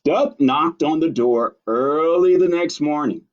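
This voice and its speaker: A man reading a children's story aloud as a storytime host, playful and deliberate in his delivery. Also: on the high side. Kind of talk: expressive children's picture-book storytelling. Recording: dull lo-fi stream audio.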